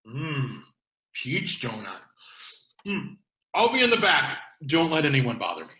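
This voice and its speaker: A man plays a grumbly repairman who avoids customers, in a casual comedy read. His voice is bright and lively in pitch, and the room echoes around it. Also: a little slow, heavily acted. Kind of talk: comedy script table read.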